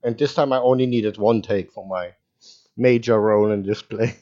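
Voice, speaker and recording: A man's voice: lowish voice, steady tone, moderate pace, warm tone, muffled recording. A man hosting a casual podcast interview, easygoing, laughing along and teasing his guest. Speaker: podcast host.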